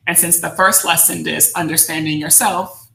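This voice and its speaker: An American woman giving an instructional presentation in a deliberate, reflective manner, often posing questions to her listeners. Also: low voice, steady tone, creaky, pushed and bright. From United States, New Jersey suburbs. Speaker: workshop presenter, educator. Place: indoor room, virtual session. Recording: roomy echo on the voice.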